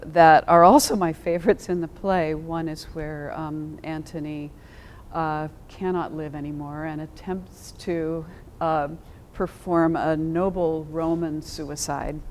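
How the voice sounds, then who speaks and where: A woman with a slightly low voice talks as a theater director in a panel discussion. She is reflective and thoughtful. Her delivery halts and pauses mid-phrase as she works through her ideas. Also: steady tone, a little hiss or hum.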